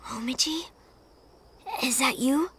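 A young trainee ninja boy in a video game. The voice is high and frail. The words come very slowly and falter. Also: background noise. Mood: weak, distressed. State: weak, faltering. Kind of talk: video game drama acting.

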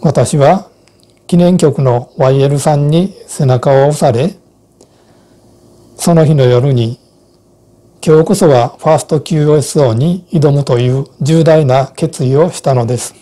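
A man in his sixties giving measured, explanatory narration from a script as an instructor. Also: on the high side, warm tone.

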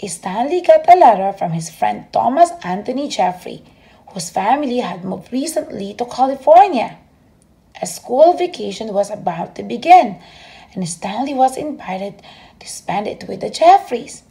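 A woman reading a children's bedtime story aloud as an expressive storyteller. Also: on the high side.

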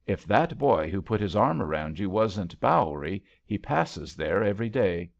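A man's voice: lowish voice, very animated, normal speed, gravelly, heavily acted. A man voicing a worldly, protective young man in an audiobook love story, wry and cautioning. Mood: wry, urging. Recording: slightly dull, lo-fi audiobook recording.

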